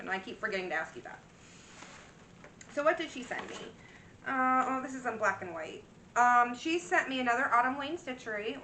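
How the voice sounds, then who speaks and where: Chatty bright-voiced woman in echoey room. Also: on the high side, slight background noise. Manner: rambling, chatty, full of fillers and restarts. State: slightly worn voice. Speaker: cross-stitch vlogger. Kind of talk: casual show-and-tell chat. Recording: echoey room, mic at a distance.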